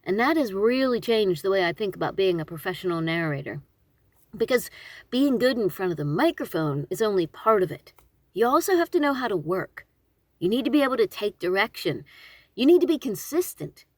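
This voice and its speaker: A woman audiobook narrator hosting her own channel, giving informal instructional talk in an expressive, conversational and emphatic way, with self-deprecating asides. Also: mid-range voice, brisk, no echo, clean studio sound.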